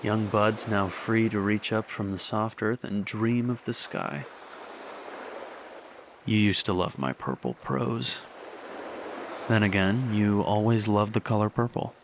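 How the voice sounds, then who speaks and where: An actor playing a young man speaking softly and wistfully to a lost love in a radio drama, with quiet laughs. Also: lowish voice, measured pace, long pauses, noisy background. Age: young adult.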